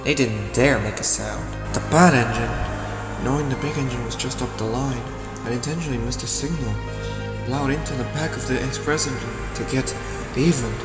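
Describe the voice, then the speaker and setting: A man narrating a dark children's story as scripted audio drama, with dramatic delivery and deliberate pauses. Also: mid-range voice. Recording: echoey, reverberant hall sound. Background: loud music.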